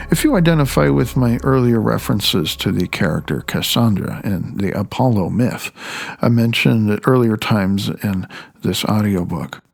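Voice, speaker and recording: A man reading his own audiobook as its author, in a deliberate, emphatic way, phrase by phrase. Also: medium pitch, vocal fry. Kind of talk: audiobook narration with recited affirmations and asides.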